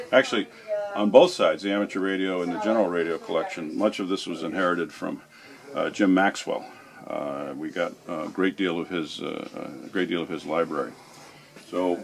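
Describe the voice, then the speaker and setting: A man giving an informal guided tour as a library guide, explaining things methodically in a halting way. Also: deep voice, creaky.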